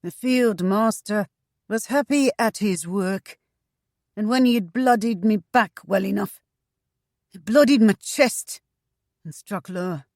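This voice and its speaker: A woman voicing a fat, bitter male pirate eunuch who gloats in rough tavern dialect. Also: mid-range voice, slow pace, long pauses, darkish tone, no room sound, clean studio sound, voice pushed up. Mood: bitter, gloating. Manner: coarse dialect, tavern bluster.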